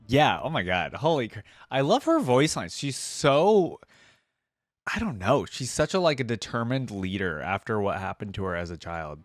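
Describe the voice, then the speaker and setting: A man's voice: mid-range voice, sing-song, normal speed, no room sound, studio quality. A man giving casual reaction commentary on game voice lines as a host, musing aloud in loose, informal fragments.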